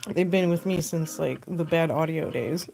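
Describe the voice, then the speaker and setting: A young woman with a deep voice, chatting casually and rambling with lots of fillers while she sculpts on a live stream, recorded on a phone. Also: unhurried, gravelly, darkish tone, faint music behind, rough recording. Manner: rambling, filler-heavy, laughs often. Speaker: costume artist streaming live.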